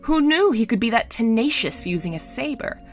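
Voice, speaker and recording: A doting queen as a woman narrator plays her in a storybook reading. Her voice is raised and lilting, with swooping, refined phrases and a gentle, sighing manner. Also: fairly high pitch, very animated, moderate pace, faint music behind, voice pushed up. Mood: approving.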